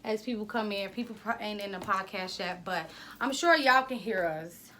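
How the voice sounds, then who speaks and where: A woman from Ohio co-hosting a casual podcast, loud and rambling. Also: medium pitch, normal speed, a little hiss or hum. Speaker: podcast co-host.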